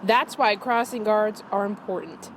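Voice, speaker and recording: A woman's voice: medium pitch, measured pace, a little hiss or hum. A woman television reporter reading scripted narration for a news package.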